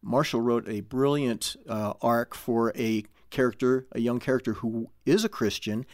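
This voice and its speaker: A man speaking as a guest in a conversational interview, explaining things in a measured way. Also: mid-range voice, no echo, studio quality. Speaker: guest, audio drama club manager.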